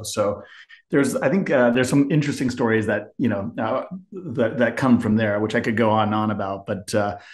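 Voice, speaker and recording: A man's voice: medium pitch, a little mellow. A man in his forties, born in London and raised in Turkey and Texas, talking as a podcast guest in a loose, rambling way with many fillers and restarts. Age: 40s. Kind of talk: casual interview talk. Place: home office in Chicago.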